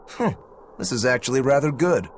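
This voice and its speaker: A man's voice: medium pitch, a little hiss or hum. An actor playing a laid-back, worldly male demon in a game, speaking with dry wit. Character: easygoing adult male demon, wry and worldly.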